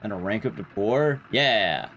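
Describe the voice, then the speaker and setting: A man's voice: lowish voice, expressive, a little slow, a bit bright, a little hiss or hum. A man livestreaming a video game, giving casual gameplay commentary while chatting with his viewers.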